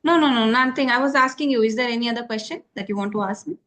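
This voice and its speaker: A woman's voice: a little high, racing, a bit bright. A woman from South India, tutoring a beginner over a call and checking in often with "okay?". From Karnataka, South India. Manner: patient, repeats key points with many "okay?" checks. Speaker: English tutor. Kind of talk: phone conversation practice.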